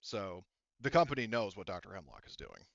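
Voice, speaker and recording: A man improvising tabletop game narration as game master, often halting and rephrasing mid-sentence. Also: sits low, huge pitch swings, vocal fry, no echo, lo-fi sound.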